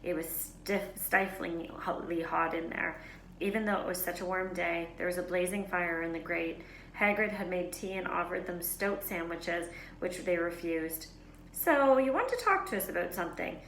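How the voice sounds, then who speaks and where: A woman reading a children's novel aloud as a teacher, in expressive storybook narration. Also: lowish voice, unhurried. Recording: echoey room, faint background noise.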